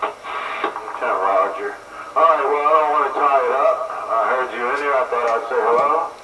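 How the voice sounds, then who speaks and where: A man's voice: medium pitch, normal speed, pushed and bright. An American man making casual radio small talk as a CB operator calling from his vehicle. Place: moving vehicle. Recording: distant, roomy, noisy CB radio transmission.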